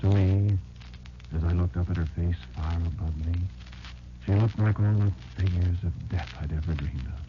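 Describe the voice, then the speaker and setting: A man playing a wary old insect elder in an old-time radio drama, telling a child grim survival tales in a confiding, folksy way with deliberate pauses. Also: sits low, noisy background, natural voice. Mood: haunted, dread. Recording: dull lo-fi old radio recording. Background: prominent music.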